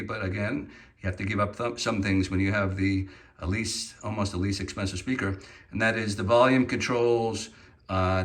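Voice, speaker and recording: A man presents an unscripted gear review in a fairly low voice with a bright, forceful edge. He explains features informally and hands-on, offering his own opinions as he goes. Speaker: product reviewer presenting portable PA speakers.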